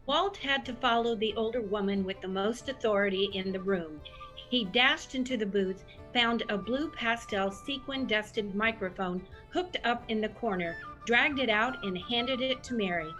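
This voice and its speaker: A woman's voice: on the high side, moderate pace, a bit bright, highs cut off, soft background music, natural voice. A woman playing the narrator of a comic audio drama, reading scripted description in a wry storytelling manner.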